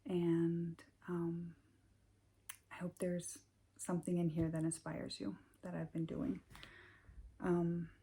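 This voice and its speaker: Icelandic woman, American English accent, slow and unhurried, mellow husky tone, hesitant casual host. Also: lowish voice, monotone. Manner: hesitant, many ums and restarts, soft-spoken. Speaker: knitting podcast host. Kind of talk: casual chat to camera.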